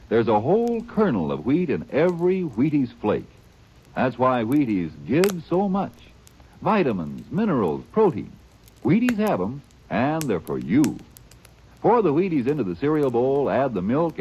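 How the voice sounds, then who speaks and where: A folksy male announcer with a mellow, husky voice whose pitch swings widely as he makes his upbeat pitch. The dull, lo-fi sound marks this as an old radio ad. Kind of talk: 1940s radio commercial.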